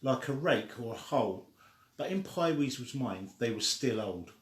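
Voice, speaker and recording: Male story reader, mid-pitched, leisurely and informal delivery, echoing room sound. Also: animated, measured pace. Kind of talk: children's story read aloud. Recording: echoey room.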